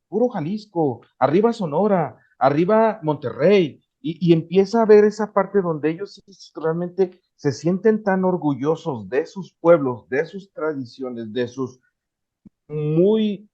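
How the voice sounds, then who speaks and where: A man's voice: fairly high pitch, lively intonation, unhurried, dark tone, muffled recording. A man from Morelos with a Mexican Spanish accent, a podcast guest talking earnestly with many restarts. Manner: earnest, with frequent restarts and hesitations. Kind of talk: casual interview conversation.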